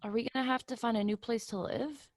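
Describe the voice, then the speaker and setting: A woman's voice: a little high, steady tone, fast, lightly acted. A woman reading the part of a brooding, introspective young tenant in a play reading. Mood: uneasy. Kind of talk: readers theatre play reading.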